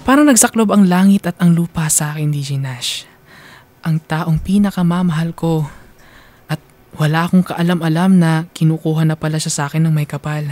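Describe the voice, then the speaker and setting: A man's voice: a little high, darkish tone, no echo. A man reading a scripted first-person radio story in a mournful, sentimental manner. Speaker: radio drama narrator.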